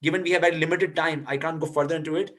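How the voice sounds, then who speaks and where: A man's voice: on the high side, fairly even intonation, rapid-fire. A young man, a guest speaker on a video call, explaining ideas to an audience with frequent hesitant restarts. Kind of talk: lecture and answering audience questions.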